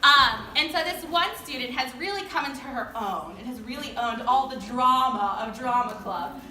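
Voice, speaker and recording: A woman's voice: sits high, moderate pace, pushed and bright. A woman speaking as a drama teacher, giving an informal, gushing and colloquial award speech to parents. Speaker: drama club teacher presenting student awards. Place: indoor performance space before an audience. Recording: echoey room, distant noisy mic.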